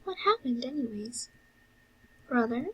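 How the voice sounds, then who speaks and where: A woman voicing an earnest, excitable and caring brother who fusses, in an amateur fan audio drama. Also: fairly high pitch, a little slow, slightly rough, full character voice, low-quality recording. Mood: concerned. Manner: exclamatory, fussing.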